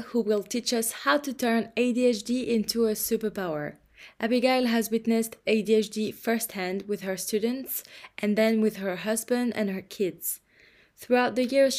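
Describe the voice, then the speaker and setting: A woman with a mid-range voice hosts a podcast. She reads her scripted intro smoothly and asks her guest polite, gently laughing questions. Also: mid-range voice. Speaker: podcast host.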